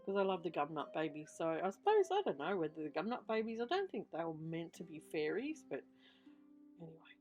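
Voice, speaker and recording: A woman's voice: fairly low pitch, big pitch swings, brisk, a little mellow, soft background music. A woman in her fifties with an Australian accent and a slight lisp, giving a casual, rambling show-and-tell voiceover as a craft vlogger, often trailing off and correcting herself. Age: 50s. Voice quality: slight lisp from a missing tooth. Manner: chatty, unscripted, often trailing off and self-correcting.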